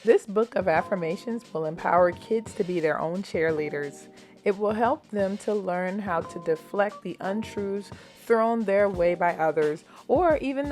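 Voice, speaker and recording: A woman's voice: fairly low pitch, darkish tone. An American woman presenting a children's craft tutorial in a chatty, informal, encouraging way.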